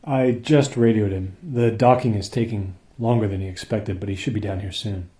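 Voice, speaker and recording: A man playing a dutiful crewman reporting to his captain in a radio play, earnest, measured and deferential. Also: on the low side, dark tone, lightly acted. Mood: matter-of-fact.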